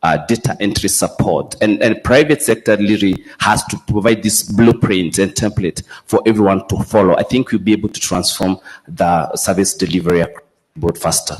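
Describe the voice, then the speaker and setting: A man's voice: low voice, moderate pace, poor audio. A man speaking as a panelist, laying out his points one by one. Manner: structured, lists his points. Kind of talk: panel talk, business points. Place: conference hall.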